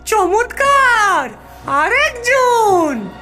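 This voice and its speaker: Evil cartoon witch, super high and sharp, gloating and theatrical, music behind. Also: very animated, drawn-out words, heavily acted. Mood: triumphant, sinister. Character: wicked child-eating witch luring children with candy. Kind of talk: cartoon villain voice acting.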